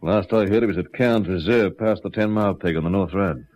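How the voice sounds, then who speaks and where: An actor playing a wary, knowing country policeman in a radio drama, measured and laconic in manner. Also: low voice, darkish tone, close to an everyday voice. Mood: concerned. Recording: dull lo-fi radio recording.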